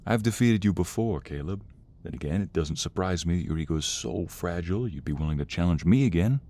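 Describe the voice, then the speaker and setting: A man playing a protective, defiant mobster devoted to his lover, acted with earnest emotion and dramatic pauses in an audio roleplay. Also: sits low, lively intonation, dark tone. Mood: scornful. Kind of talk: audio roleplay romance acting.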